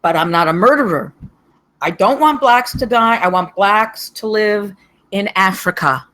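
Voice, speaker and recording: Woman playing a sharp, bright-voiced old lady. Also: medium pitch, unhurried, natural voice. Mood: unapologetic. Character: blunt, grumpy, bigoted whiskey-drinking 80-year-old woman. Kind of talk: animated comedy script table read.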